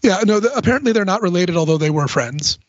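A man with a fairly high voice chats casually as a podcast panelist, explaining things in an informal, halting way. The sound is very dry and a little dull. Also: expressive, no echo. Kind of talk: casual music discussion.

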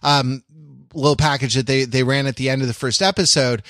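A man's voice: medium pitch, fairly even intonation, a little fast, a bit bright, no echo, studio quality. A man co-hosting a podcast in casual chat, rambling with frequent false starts and comic exaggeration. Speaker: podcast co-host. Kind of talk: casual panel chat about television.

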